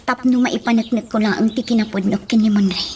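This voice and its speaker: A woman's voice: a little high, steady tone, fairly quick, darkish tone, a little hiss or hum, lightly acted. A woman playing an earnest, plaintive young woman in an Ilocano radio drama. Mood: troubled. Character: earnest, troubled young woman defending her sweetheart.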